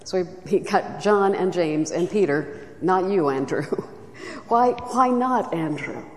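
A woman giving a reflective, soft-spoken teaching talk at a church retreat. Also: on the low side, animated, slow. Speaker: retreat speaker. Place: church hall. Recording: echoey hall recording.

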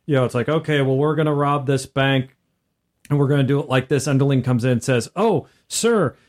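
A man's voice: mid-range voice, brisk. A man co-hosting a casual chat, slipping into little acted snippets as he makes his points. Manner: acts out snippets of dialogue while making a point. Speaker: podcast co-host. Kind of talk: casual chat about tabletop role-playing.

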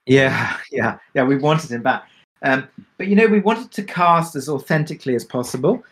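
A man answering questions on a discussion panel as a drama creator, speaking reflectively and haltingly with many pauses. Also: medium pitch, animated, a bit bright. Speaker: audio drama creator and producer.

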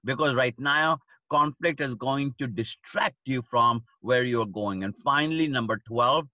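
A man's voice: medium pitch, unhurried, sharp tone, dry recording, muffled recording. A man giving a livestreamed leadership talk, stressing his points by repeating key phrases. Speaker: leadership speaker.

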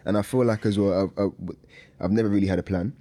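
Young man, deep voice, Lingala native speaker, artist interviewee, informal casual chat, frequent tag questions. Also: fairly even intonation, quick pace, mellow. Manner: informal, repeats tag questions like "you know what I mean". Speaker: music artist being interviewed.

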